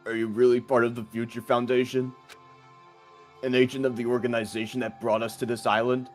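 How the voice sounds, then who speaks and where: Guy doing an earnest tormented student boy from a video game, mid pitch, super serious and dramatic. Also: level tone, brisk, natural voice. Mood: grave, pleading. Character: earnest, anguished young male student from a mystery game. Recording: faint music behind.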